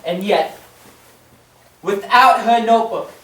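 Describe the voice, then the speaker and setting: An actor playing a stern, accusing investigator in an old-time radio play, speaking deliberately and theatrically. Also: medium pitch, fairly bright tone, reverberant, distant mic, low-quality recording. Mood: grave.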